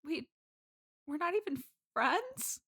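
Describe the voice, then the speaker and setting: A woman's voice: high-pitched, very animated, takes it slowly, fairly bright tone, heavily acted. A woman playing a needy, passive-aggressive young bridesmaid with a girlish lilt, gushing and insistent in an improvised comedy interview. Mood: hurt. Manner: gushing, insistent, over-cheerful denials. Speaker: podcast guest.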